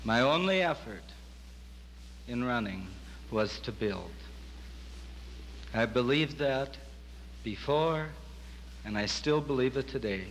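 A man's voice: mid-range voice, lively intonation, slow pace, pauses often, fairly bright tone. A man from Minnesota, a board member who lost an election, giving an earnest, resolute convention speech. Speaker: defeated candidate, board member. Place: large convention hall. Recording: reverberant convention hall with hum.

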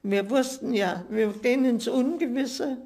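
A woman's voice: a little high, unhurried, dark tone. An older woman giving a personal recollection as an eyewitness in an interview.

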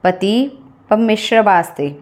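A woman's voice: mid-range voice, lively intonation, slow. A woman narrator voicing a weary farmer husband in a story, wry and placating. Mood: wry teasing.

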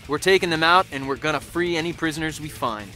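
Bright-voiced soldier, music behind. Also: male voice, a little high, quick pace. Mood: determined. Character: weary American male soldier, husband and father, recounting combat. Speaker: soldier narrator. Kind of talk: scripted narration in a war game cutscene.

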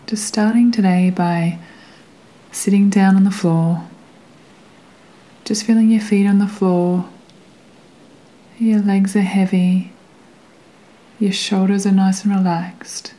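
Slow, soft-voiced woman guiding meditation. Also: medium pitch, fairly even intonation, low-quality recording. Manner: gentle, soothing, unhurried instruction with long pauses. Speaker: meditation guide. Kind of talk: guided meditation narration.

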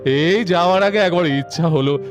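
A man playing a lonely, resigned old village man in a puppet cartoon, gentle and nostalgic, with a sighing delivery. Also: fairly high pitch, moderate pace, over music, close to an everyday voice. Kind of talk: puppet cartoon story dialogue.

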